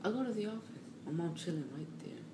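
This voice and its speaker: A man telling a casual, animated story in slang, repeating himself and acting out what people said. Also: a little high, fast. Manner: slangy, repetitive, acts out quotes. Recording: roomy, distant mic, clearly noisy.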